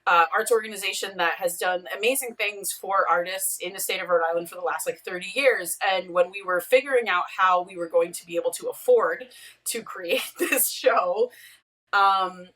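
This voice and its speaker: A woman with a bright voice at an average pitch talks casually in an interview. She is chatty and explanatory, heard from slightly off the mic on a video call. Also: normal speed, recorded from a distance, poor audio. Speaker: podcast creator and showrunner, interviewed guest.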